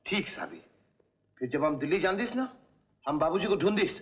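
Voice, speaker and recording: A man's voice: a little high, very animated, moderate pace. A man playing a naive, loyal comic servant who speaks in broken dialect with odd verb endings. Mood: earnest, comic. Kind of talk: old Hindi film comedy acting. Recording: dull lo-fi old film soundtrack.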